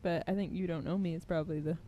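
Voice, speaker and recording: A woman's voice: fairly low pitch, fairly even intonation, very fast, lo-fi sound. A woman co-host chatting casually, offering brief interjections and prompts as she listens. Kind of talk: casual chat.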